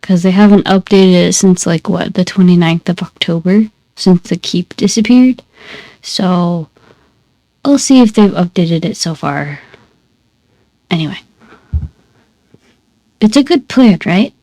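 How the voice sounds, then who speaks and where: A woman chats slowly through a gameplay session, her voice stuffy and a little congested. The tone is soft and mellow, and she rambles in easygoing asides to her viewers. The sound is close and dry. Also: lowish voice, no echo. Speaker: gaming channel host. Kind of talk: casual gameplay commentary.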